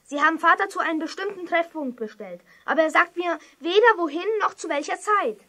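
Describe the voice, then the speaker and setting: Excitable child detective, very high, bright, eager, emphatic, radio play. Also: female voice, brisk, full character voice. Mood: earnest worry. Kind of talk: children's audio drama acting.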